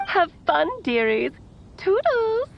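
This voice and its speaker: Lilting, playful woman mage, slightly creaky. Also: extremely high pitch, very animated, slow pace, heavily acted. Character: playful, breezy adult woman mage, doting and whimsical. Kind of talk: anime game voice acting. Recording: slightly dull filtered playback.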